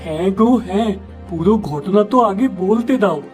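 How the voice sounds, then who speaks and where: A man's voice: high voice, moderate pace, faint music behind, lightly acted. A man voicing a gullible, starry-eyed young village shop lad in a cartoon, eager and earnest. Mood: excited, insistent. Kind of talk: Bengali cartoon fable voice acting.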